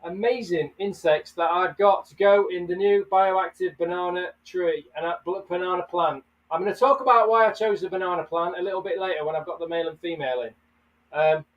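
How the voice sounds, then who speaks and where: A man's voice: high voice, fairly even intonation, fairly quick, distant mic, muffled recording. Chatty man streaming live. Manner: chatty, greets viewers by name. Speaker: livestream host. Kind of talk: casual live chat.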